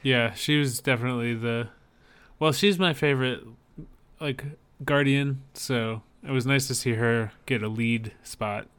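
Clear-voiced, easygoing man in casual chat. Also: mid-range voice, unhurried, fairly bright tone. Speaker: podcast co-host. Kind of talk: casual chat about films.

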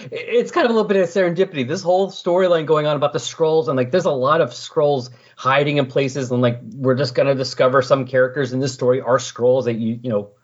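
A man's voice: mid-range voice, fast talker, few pauses, highs cut off. A man hosting a podcast in casual, unscripted discussion. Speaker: podcast host.

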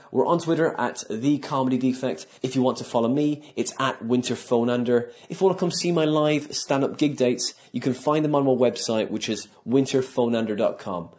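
A man's voice: medium pitch, a little mellow. Informal man hosting a podcast. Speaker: podcast host, stand-up comedian. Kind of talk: casual interview and podcast outro.

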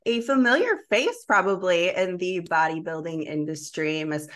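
Podcast host: woman with a lower register, enthusiastic, chatty and informal. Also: expressive, normal speed. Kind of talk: casual chat, introducing guest.

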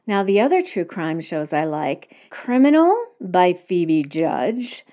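A woman's voice: lowish voice, sing-song, slow pace, warm tone. A woman hosting a solo podcast, talking conversationally and recommending things to listeners. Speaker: podcast host.

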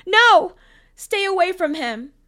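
A woman voicing a frightened but quick-thinking young girl in a story, high-pitched and urgent. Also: sing-song, gravelly, a little mellow, dry recording, heavily acted. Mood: panicked shouting. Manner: urgent, high-pitched, stammering under fear.

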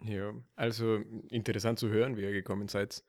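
Guatemalan-born young man, lowish voice. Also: level tone, brisk, a little mellow. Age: 20s. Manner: loose and hesitant, laughs easily. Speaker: student guest. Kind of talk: casual podcast chat.

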